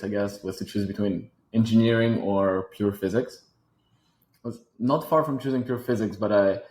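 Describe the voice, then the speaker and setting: Man, French accent, native French speaker, lowish voice, echoey room, thoughtful, repeats words. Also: darkish tone. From Switzerland. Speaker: guest, robotics company founder. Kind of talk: casual interview talk.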